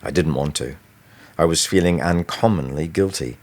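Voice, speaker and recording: A man reading his own novel aloud as expressive, scripted audiobook narration. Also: very deep voice, moderate pace.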